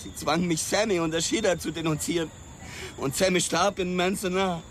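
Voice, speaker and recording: A man's voice: high-pitched, fairly even intonation, a little fast, a bit bright, slight background noise. An actor playing a bitter young policeman who speaks reproachfully, as a son to his father, in a radio drama. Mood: bitter, accusing. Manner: reproachful, accusing.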